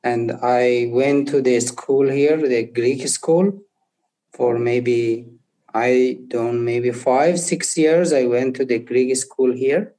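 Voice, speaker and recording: A young man from Afghanistan, not an English speaker, telling his life story haltingly and searching for words. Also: mid-range voice, dark tone, low-quality recording. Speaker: interview guest. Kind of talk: personal testimony.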